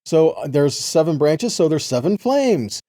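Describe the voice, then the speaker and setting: Mellow-voiced man preaching from scripture. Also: fairly high pitch, big pitch swings, a little slow, dry recording, clean studio sound. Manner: earnest, rhetorical questions to listeners. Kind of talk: sermon, Bible teaching with scripture readings.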